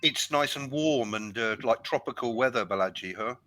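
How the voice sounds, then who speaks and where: A man's voice: medium pitch, moderate pace, pushed and bright, dry recording. A British man tutoring English over a video call, friendly and chatty, explaining things step by step. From United Kingdom. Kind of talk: online tutoring chat.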